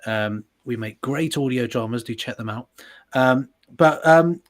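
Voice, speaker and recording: A man's voice: mid-range voice, unhurried. A man, a sound designer chatting casually on a livestream, self-deprecating and full of restarts. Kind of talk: casual chat.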